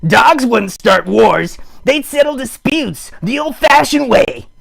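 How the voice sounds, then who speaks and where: A man voicing an excitable, earnest puppet in a raspy voice, making emphatic speeches in a live improvised puppet show. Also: extremely high pitch, takes it slowly, exaggerated voice. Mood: excited, earnest. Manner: emphatic, exclamatory, mock-campaign speech. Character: excitable puppet character, earnest champion of dogs. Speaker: puppeteer host.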